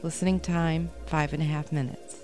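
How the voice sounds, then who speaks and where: A woman's voice: low-pitched, little pitch movement, normal speed, mellow. A woman podcast host introducing authors and stories in scripted announcements. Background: music.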